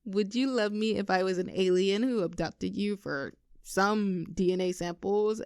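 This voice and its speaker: Woman voicing young girlfriend, affectionate, teasing, vulnerable, halting when earnest, intimate audio roleplay, very dry recording. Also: mid-range voice, a little mellow, clear, resonant tone, no room sound, close to an everyday voice. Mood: playful, teasing.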